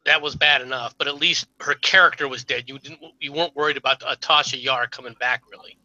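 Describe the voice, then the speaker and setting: A man's voice: mid-range voice, steady tone, fast talker, bright, forceful tone, lo-fi sound. A man co-hosting a casual stream, critical and laying out his opinions at length. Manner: critical, lays out arguments at length. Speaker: stream co-host.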